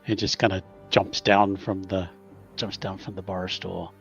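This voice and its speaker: A man's voice: low voice, little pitch movement, unhurried, creaky. A man casually narrating his character's actions in a tabletop roleplaying game, hesitant and repeating phrases. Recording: slightly dull lo-fi audio. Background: faint music.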